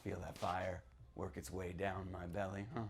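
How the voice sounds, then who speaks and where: A man's voice: low-pitched, little pitch movement, moderate pace, pitched below the performer's own voice. A man reading horror fiction aloud as a boozy old small-town storyteller, cagey and conspiratorial, prodding the listener and repeating himself. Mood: pleading, then soothed. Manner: theatrical, conspiratorial, repeats phrases, prods listener with "huh" and "hmm". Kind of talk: dramatic first-person horror reading.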